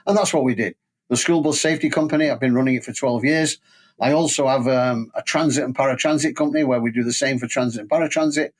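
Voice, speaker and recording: A man's voice: medium pitch, quick pace. An older man with a Manchester English accent, telling stories conversationally as an interview guest. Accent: Mancunian English. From England. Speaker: podcast guest, safety training company president. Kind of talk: conversational career storytelling.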